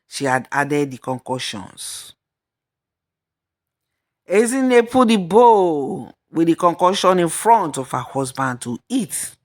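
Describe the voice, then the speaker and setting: A woman storyteller giving a scripted narration of a folktale. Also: lowish voice.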